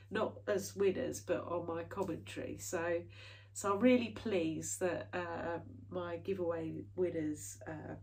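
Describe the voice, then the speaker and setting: A woman's voice: lowish voice, a little mellow, far from the mic, poor audio. Chatty British woman, slightly stuffy. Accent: British English. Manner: chatty, rambling, many fillers and restarts. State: stuffy nose from hay fever. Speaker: cross-stitch vlogger. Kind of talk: casual chat to camera.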